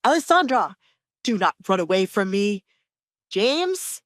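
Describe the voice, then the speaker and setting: Woman acting an angry, commanding older baron, swooping pitch. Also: lively intonation, a little slow, dry recording, studio quality, close to an everyday voice. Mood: barking orders. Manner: commanding, threatening. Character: angry older baron, a bullying, threatening father.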